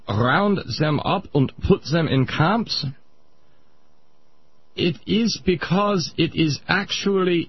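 A man voicing a pompous old male Viennese psychoanalyst, lecturing in a smug, drawn-out way. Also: fairly high pitch, husky, dull audio, full character voice.

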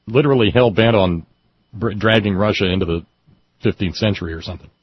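An older man with a low, husky voice hosts a casual talk show, spinning rambling anecdotes with wry chuckles. The sound is dry and muffled, with the highs rolled off. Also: quick pace, no echo. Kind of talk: casual call-in chat.

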